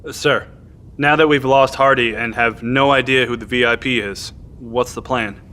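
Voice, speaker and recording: An actor playing a dutiful junior navy officer in an audio drama, respectful and cautious but frank. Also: male voice, mid-range voice, fairly even intonation, normal speed, sharp tone, lightly acted. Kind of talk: scripted audio drama acting.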